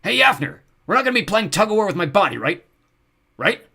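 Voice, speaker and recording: Man putting on a high, panicky hobgoblin. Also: very animated, a little fast, gravelly, pushed and bright, exaggerated voice. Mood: blustering. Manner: yelping, blustering. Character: cheeky, panicky hobgoblin, small male creature.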